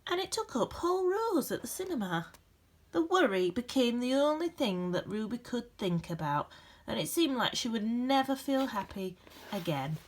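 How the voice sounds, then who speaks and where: A woman reading a children's picture book aloud with gentle, expressive storytelling emphasis. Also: fairly high pitch, moderate pace, a little hiss or hum. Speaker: storybook reader.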